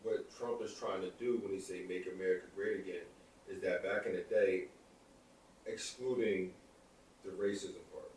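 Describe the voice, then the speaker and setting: A man's voice: deep voice, steady tone, normal speed, darkish tone, a little hiss or hum. A man in his thirties co-hosting a podcast, rambling through his opinions in casual chat. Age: 30s. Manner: rambling, lots of "like" and restarts. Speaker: podcast co-host. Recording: echoey room, far from the mic.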